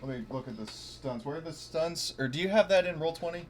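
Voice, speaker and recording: A man's voice: a little high, animated, a little fast. Man chatting in noisy game session. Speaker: player in a tabletop roleplaying game. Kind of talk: casual game chat.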